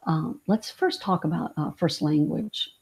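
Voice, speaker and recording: Hoarse woman webinar presenter, low gravelly voice, halting delivery. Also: darkish tone. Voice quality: raspy. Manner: halting, with many fillers and restarts.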